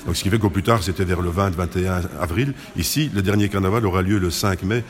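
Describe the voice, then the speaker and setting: A man's voice: sits low, quick pace. A man answering interview questions as a committee president, explaining in detail and often correcting himself.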